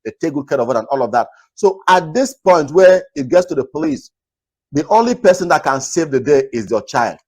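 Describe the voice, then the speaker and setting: A man from Nigeria hosting a talk video, earnestly advising viewers in a naturally loud voice and repeating his points. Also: fairly high pitch, animated, brisk. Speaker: host. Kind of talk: casual commentary to camera.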